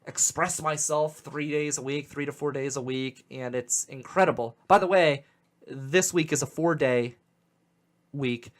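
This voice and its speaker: A young man with a Western Pennsylvania accent hosting a casual radio talk show, animated and opinionated, often repeating words as he gets going. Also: fairly high pitch, measured pace. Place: radio studio. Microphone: studio microphone.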